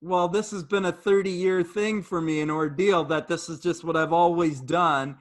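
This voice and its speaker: A middle-aged man hosts a casual talk in an earnest, reflective way. His voice sits high for a man, and he often circles back over his words. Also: fairly even intonation, moderate pace. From Jamaica, raised in Canada. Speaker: fitness trainer and host. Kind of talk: casual conversational interview.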